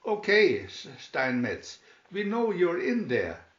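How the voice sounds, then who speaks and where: A ruthless storybook tycoon acted out by a man reading aloud, loud and commanding, pitched up into a bellow. The recording is dull and echoes around the room. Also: wildly swooping pitch, takes it slowly, heavily acted. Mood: threatening. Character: commanding, ruthless tycoon villain barking threats through a bullhorn. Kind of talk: storybook character voice.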